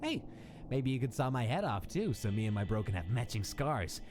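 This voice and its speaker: A man's voice: mid-range voice, very animated, moderate pace, noisy background, close to an everyday voice. A man playing a bitter, defiant young skeleton captive in a dramatic comic dub, sardonic and taunting. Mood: sarcastic, taunting.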